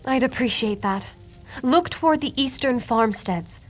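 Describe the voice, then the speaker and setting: A woman's voice: on the high side, expressive, measured pace, faint music behind. An actress voicing an earnest, compassionate noblewoman and healer who leads with a sense of duty in a video game. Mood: concerned. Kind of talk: video game character dialogue.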